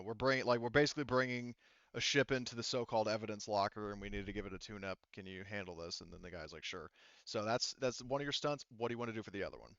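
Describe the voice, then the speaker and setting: A man acting as game master, narrating a tabletop game casually in halting, rambling detail. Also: lowish voice, fairly bright tone, smooth, dry recording, highs cut off.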